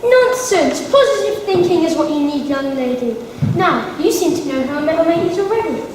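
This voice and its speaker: Young girl as a self-assured, eccentric doctor for a school play; high voice, loud background noise, roomy hall sound. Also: animated, moderate pace. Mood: brisk, bossy. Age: child or young pupil. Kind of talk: scripted school assembly acting. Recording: echoey school hall, distant mic, noisy.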